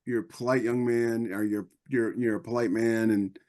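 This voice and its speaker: A man's voice: mid-range voice, steady tone, moderate pace, darkish tone. An American man co-hosting a podcast, sharing personal anecdotes in a conversational, wry manner. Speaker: podcast co-host. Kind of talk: casual chat, personal anecdotes.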